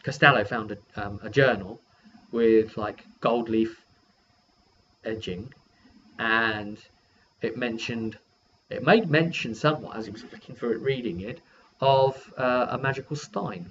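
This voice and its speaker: A man's voice: medium pitch, a little slow, a bit bright. A man who works as a game master, casually recapping a role-playing session and thinking aloud in a rambling way. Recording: dull lo-fi sound with background noise.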